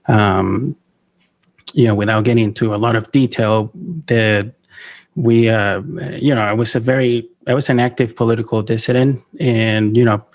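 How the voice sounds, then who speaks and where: Venezuelan-born man, halting podcast guest. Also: on the low side, steady tone. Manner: halting, many fillers and restarts, laughs often. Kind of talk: casual interview talk.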